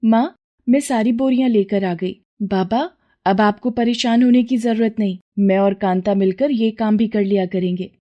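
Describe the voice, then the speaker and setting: A woman's voice: medium pitch, moderate pace, lo-fi sound, lightly acted. A woman playing a responsible young village daughter in a moral story, speaking with affection and reassurance. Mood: reassuring.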